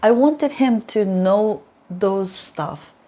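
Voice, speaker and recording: A woman's voice: mid-range voice, expressive, slow pace, crowd noise. A young Japanese woman with a Japanese accent, telling stories in a casual interview and laughing often. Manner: chatty, laughs often, playful storytelling. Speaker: former reporter, interview guest.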